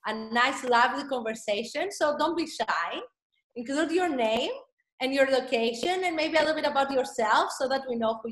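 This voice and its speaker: A woman with a high voice hosts a webinar, welcoming and introducing. She speaks on a video call in a small room, with noticeable echo. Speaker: event host and organizer. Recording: roomy, echoing laptop microphone.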